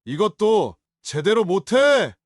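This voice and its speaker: An actor playing a drunk, contemptuous adult son in an audio drama, talking in curt, scornful demands. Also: male voice, high voice, big pitch swings, slow pace, full character voice.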